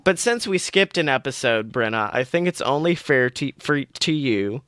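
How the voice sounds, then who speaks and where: A man's voice: medium pitch, expressive, normal speed, a bit bright, clear, resonant tone, no echo, studio quality. A man co-hosting a podcast, moving between casual comic chat and reading his own story aloud. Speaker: podcast co-host, fan fiction reader.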